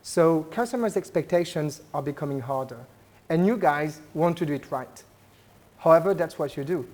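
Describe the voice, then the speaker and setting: A man's voice: a little high, lively intonation, normal speed, darkish tone. A man with a French accent giving a conversational talk to a live audience at a business event, often putting questions to the listeners. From France. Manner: engaging, polls the audience with questions; conversational, frequent "uh" and "right?".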